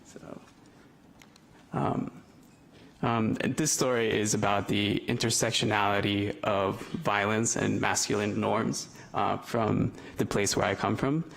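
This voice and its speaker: Young speaker from el salvador, low gravelly voice, lots of ums, telling his own story at a panel. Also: fairly even intonation, unhurried, a bit bright. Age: 20s. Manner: hesitant, many filler ums. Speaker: youth representative. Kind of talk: personal story told to a panel audience.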